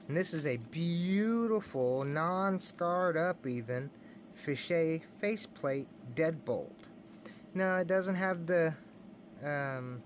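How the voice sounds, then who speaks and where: A man's voice: a little high, slight background noise. A man with a Texan accent, rambling happily as he shows off his locks and keys in a casual vlog, chuckling often. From Texas. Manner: enthusiastic, rambling, says y'all, chuckles often. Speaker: lock collecting channel host. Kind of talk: casual show-and-tell vlog.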